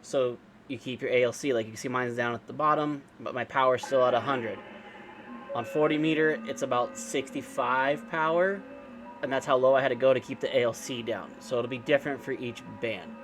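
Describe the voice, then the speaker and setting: A man giving an informal, unscripted software walkthrough, explaining step by step in a casual way. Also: mid-range voice, fairly quick, faint music behind. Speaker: amateur radio operator giving a software tutorial. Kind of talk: informal screen-share tutorial, unscripted walkthrough.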